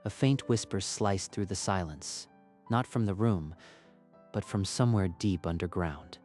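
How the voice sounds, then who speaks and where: A man's voice: sits low, a little slow, a little mellow, soft background music. A man narrating a scripted story in a dramatic style.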